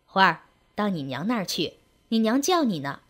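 A woman's voice: medium pitch, sing-song, normal speed, voice pushed down. A woman voicing a weary village father of fifty, coaxing and apologetic, in a story reading. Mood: coaxing, firm. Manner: coaxing, hushed, sighing.

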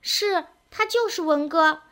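Woman voicing a high, childlike lovesick village girl. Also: slow, fairly bright tone, full character voice. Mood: insistent, pleading. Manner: pleading, insistent, clingy.

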